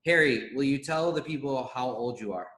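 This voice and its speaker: A man in his twenties co-hosting an online interview, energetic and full of praise for his guest. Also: mid-range voice, normal speed. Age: 20s. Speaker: co-host interviewer. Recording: roomy echo.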